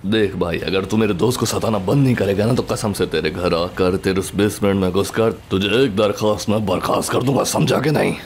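A man's voice: on the low side, fairly quick, few pauses, close to an everyday voice. A man voicing a boozy, washed-up thunder god in an amateur film dub, with a boastful, drawling delivery. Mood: threatening. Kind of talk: amateur Hindi film fandub acting. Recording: clear background noise with film ambience.

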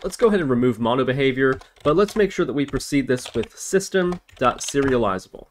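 A man hosting a software tutorial, explaining methodically, step by step. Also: mid-range voice, expressive, a little fast. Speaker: tutorial host. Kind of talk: scripted-feeling coding tutorial narration. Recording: noisy, with keyboard typing and clicks. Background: computer keyboard typing and mouse clicks.

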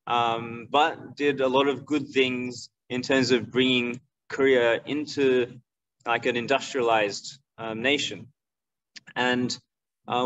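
A middle-aged man giving an informal online lecture as an instructor, explaining in a halting way and often checking in with the listener. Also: medium pitch, a bit bright. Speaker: martial arts instructor and school owner. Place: indoor room, on a video call. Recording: dull, lo-fi video call audio.

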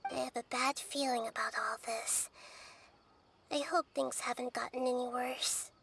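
High-pitched, thoughtful little girl deity. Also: fairly even intonation, vocal fry, noisy background. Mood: serious, cautioning. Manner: measured, thoughtful, articulate. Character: gentle, wise childlike girl deity. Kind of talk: video game dialogue.

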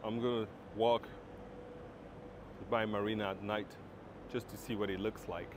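A man's voice: fairly low pitch, flat delivery, unhurried. A man from Congo, chatting easygoing to camera on a smartphone while walking outdoors along a waterfront at night. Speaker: travel vlogger. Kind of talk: casual vlog talk to camera. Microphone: smartphone on a handheld gimbal. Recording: street traffic noise behind.

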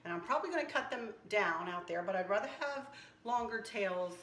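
A slightly hoarse woman hosting a casual craft tutorial, chatting and teasing herself as she works. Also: mid-range voice, expressive, a little fast, fairly bright tone, reverberant, a little hiss or hum. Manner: chatty, self-teasing, talks while working. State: a little hoarse. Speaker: craft channel host. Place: kitchen counter indoors.

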